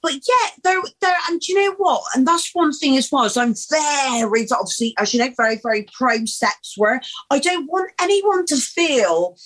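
A woman's voice: sits high, lively intonation, normal speed, fairly bright tone, poor audio. A woman in her thirties, a guest talking candidly and bluntly, swearing freely and calling people babe. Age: 30s. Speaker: podcast guest. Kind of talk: candid casual conversation.

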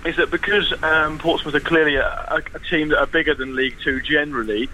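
A man's voice: a little high, steady tone, quick pace, sharp tone, slight background noise, rough recording. A man chatting about football as a podcast guest, wry and self-deprecating, with frequent restarts. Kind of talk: casual podcast chat.

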